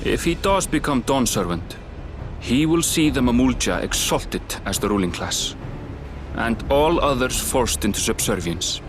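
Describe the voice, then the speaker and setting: An actor playing a composed male guide who explains rivals and history in a measured, slightly dry way in a video game cutscene. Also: medium pitch, animated, lightly acted. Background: game score music.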